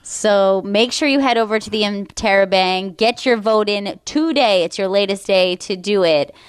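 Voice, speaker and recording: A woman's voice: mid-range voice, normal speed, clean tone, dry recording. A woman co-hosting a comedy talk show in a casual, conversational style.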